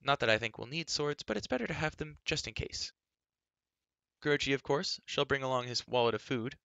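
A man's voice: medium pitch, expressive, fairly quick, vocal fry, sharp tone, clear, resonant tone, dry recording, dull audio, voice pushed up. A man voicing a spirited, headstrong, talkative young girl in a storybook, chattering emphatically and with indignation. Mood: eager, chattering. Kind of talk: acted audiobook dialogue.

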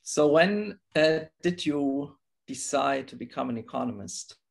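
A man's voice: mid-range voice, lively intonation, measured pace, vocal fry. A man hosting a podcast, asking a guest questions over a video call. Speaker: podcast host. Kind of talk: interview question.

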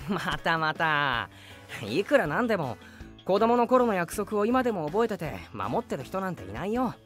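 A woman's voice: fairly low pitch, very animated, normal speed, fairly bright tone, big-room sound, faint music behind. A woman voicing a teasing, easily flustered teenage boy who plays doting young husband in an anime romantic comedy drama. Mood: dismissive, exasperated. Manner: teasing, exasperated.

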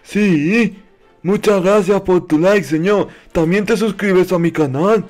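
Naive game noob, played by a man: high-pitched, childlike, simple-minded, repetitive, with music underneath. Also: slow pace, a little mellow. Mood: naive, cheerful. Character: naive, dim-witted childlike Minecraft noob, male. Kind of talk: improvised comedic game roleplay.

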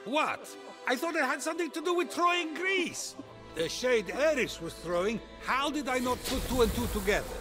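An actor playing a dim, boastful king of the gods in a comedic video game, full of excitable bluster. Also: very high-pitched, moderate pace, music in the background. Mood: baffled.

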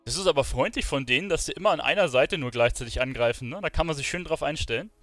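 A man's voice: fairly high pitch, fast talker, fairly bright tone, dry recording. A man giving casual gameplay commentary as a streamer. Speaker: gaming streamer. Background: game music and sounds.

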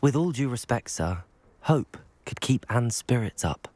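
A young man with an English accent playing an earnest, polite teenage wizard student in a video game, explaining things in a measured way. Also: mid-range voice, sing-song, a little mellow, no echo. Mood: polite, insistent. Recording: background music under dialogue.

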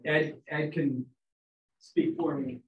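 A man chatting briskly and sociably with a group on a video call before excusing himself. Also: fairly high pitch, steady tone, roomy sound, dull audio. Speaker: scientist leaving a group call. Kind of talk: casual chat.

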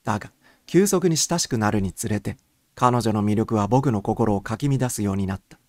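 Male narrator for a first-person mystery audiobook, lower-pitched voice, dry studio-like sound. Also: expressive, normal speed, no echo.

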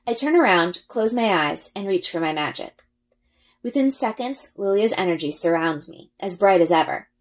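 A woman reading a first-person children's adventure story aloud in an expressive storytelling style. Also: mid-range voice, moderate pace. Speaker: read-aloud reader, elementary school.

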